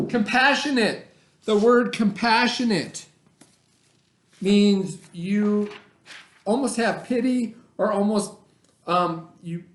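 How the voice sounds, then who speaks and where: A middle-aged man teaching children in a conversational way, often checking understanding with questions and repeating himself. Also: sits high. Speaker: elementary school teacher. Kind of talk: read-aloud lesson for children, casual explaining. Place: indoor room, remote video lesson. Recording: echoey room.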